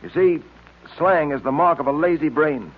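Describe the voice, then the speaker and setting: A man's voice: fairly high pitch, moderate pace, lightly acted. A man playing an upright, earnest police detective in old radio drama style, speaking in a firm, clipped, fatherly way. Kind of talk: 1940s radio acting. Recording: tinny noisy old radio recording.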